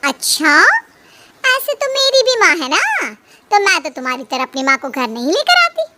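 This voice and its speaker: A woman voicing a scheming, mocking elder daughter-in-law in an animated family story. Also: extremely high pitch, normal speed, very noisy. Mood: scornful. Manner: taunting and sneering.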